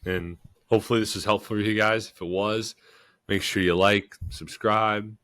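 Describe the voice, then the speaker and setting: A man's voice: fairly low pitch, moderate pace. A young man co-hosting a casual podcast, nasal and stuffed up from a cold, talking loosely with lots of "like" and "right?". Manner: relaxed, repeats "like" and "right?" often. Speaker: podcast co-host.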